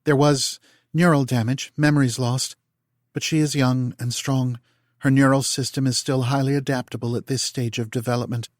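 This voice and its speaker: A man voicing a calm, clinical female AI that speaks in precise, measured sentences. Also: medium pitch, no echo, studio quality, pitched above the performer's own voice, lightly acted.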